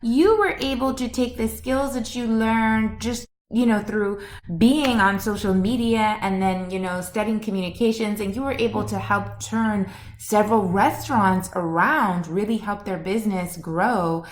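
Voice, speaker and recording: In roomy, echoey sound, a woman with a mid-pitched voice hosts a podcast interview. She speaks conversationally, summing up and putting questions to her guest. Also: unhurried.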